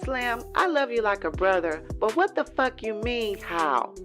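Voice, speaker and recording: A woman's voice: a little high, very animated, measured pace, fairly bright tone. A woman playing a feisty, streetwise mistress in an audio story, blunt and defiant, with music in the background. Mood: agitated. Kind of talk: dramatic audio story acting.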